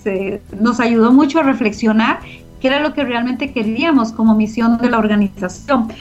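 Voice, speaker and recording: A woman's voice: a little high, normal speed, dull audio, faint music behind. A middle-aged woman, giving reflective, explanatory answers as an interview guest. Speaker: interview guest, organization founder.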